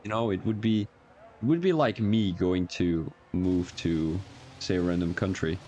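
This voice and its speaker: A man's voice: deep voice, measured pace, a little mellow, a little hiss or hum. A young man from Belgium with an Antwerp Flemish accent, chatting casually and rambling as a racing livestream commentator, chuckling and correcting himself as he goes. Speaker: race commentator.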